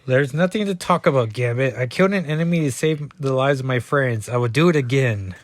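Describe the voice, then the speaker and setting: A man's voice: mid-range voice, brisk, close to an everyday voice. A man voicing a blunt, unrepentant young female assassin from a comic book in a formal, terse way. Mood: stoic.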